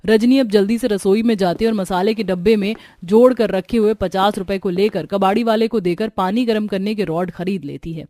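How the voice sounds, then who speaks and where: A woman's voice: medium pitch, normal speed, few pauses, warm tone, no echo, clean studio sound. A woman narrating a scripted cartoon story.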